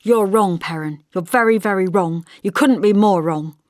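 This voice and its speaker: A woman's voice: mid-range voice, normal speed, no room sound, studio quality, pitched above the performer's own voice, close to an everyday voice. A woman voicing an earnest, prickly young woman in audiobook dialogue, indignant, defensive and emphatic.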